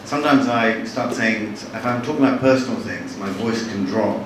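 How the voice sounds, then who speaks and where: Wry man talking in an echoey hall. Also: fairly low pitch. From born in Bangladesh, raised in London. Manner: wry, chatty, halting with frequent restarts. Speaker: novelist giving a talk. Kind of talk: live talk to an audience. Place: large hall with audience. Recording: echoey hall from far off, noisy.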